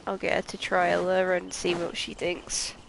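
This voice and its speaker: A woman's voice: lowish voice, completely flat delivery, measured pace, gravelly, slight background noise, low-quality recording. A woman streamer giving chatty, rambling commentary while playing a game, swearing now and then. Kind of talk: casual gameplay commentary. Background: game music.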